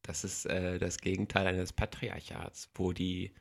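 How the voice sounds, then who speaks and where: Teasing young man with a deep voice. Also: level tone, measured pace, creaky. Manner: teasing, playful, jokey. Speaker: podcast co-host and editor. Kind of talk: casual chat.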